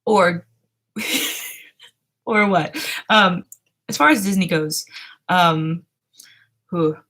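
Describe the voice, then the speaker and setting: A woman's voice: lowish voice, very slow, a bit bright. Chatty young woman streaming from bedroom. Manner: chatty, laughs often, trails off with fillers. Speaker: livestream host. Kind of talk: casual chat with chat readers. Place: small home bedroom, indoors. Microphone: webcam framing at desk.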